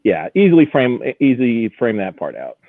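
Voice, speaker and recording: A man's voice: mid-range voice, wildly swooping pitch, moderate pace, no echo. Male auction presenter on a phone-like line. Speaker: auction house executive presenting lots. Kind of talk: informal live auction preview commentary.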